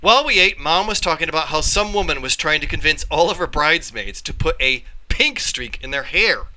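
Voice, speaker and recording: Very bright-voiced man reading a story aloud. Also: on the high side, poor audio. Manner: animated, dramatic phrasing with pauses. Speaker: read-along storyteller, teacher. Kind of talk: expressive read-aloud of a children's story in first person.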